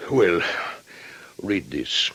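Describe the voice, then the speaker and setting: An actor playing a grave, authoritative older father with a husky voice, speaking formally and firmly in old-time radio drama. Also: deep voice, very animated, very slow, a bit bright, heavily acted. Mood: grave, troubled. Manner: formal, firm.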